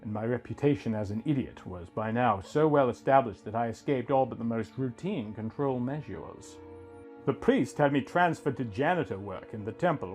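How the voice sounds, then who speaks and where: A man reading a scripted first-person story as a dramatic narrator, in a theatrical, deliberate manner. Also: mid-range voice, a little mellow.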